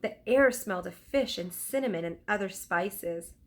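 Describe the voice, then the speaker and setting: A woman narrating a children's storybook aloud in an expressive, deliberate storytelling style. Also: mid-range voice. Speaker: teacher reading aloud.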